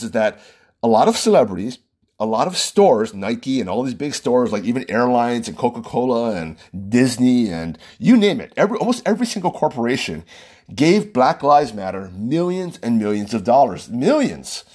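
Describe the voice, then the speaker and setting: Husky man with lively, swooping pitch. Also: moderate pace. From Hawaii, USA. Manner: loose, conversational, repeats and restarts phrases. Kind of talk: opinion monologue to camera.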